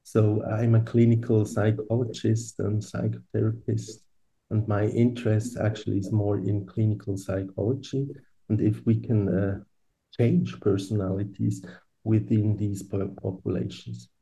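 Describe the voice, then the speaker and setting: A man's voice: fairly low pitch, level tone, a little slow, gravelly, dark tone, rough recording. A man with a Swiss German accent, a psychologist guest on a podcast interview, speaking with some hesitation. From Switzerland.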